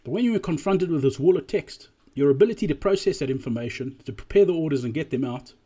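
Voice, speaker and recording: A man giving an explanatory business presentation as a voice-over, speaking deliberately and emphatically and repeating key phrases, with a slightly husky voice. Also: on the high side, lively intonation, warm tone. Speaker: presenter analysing drive-through performance.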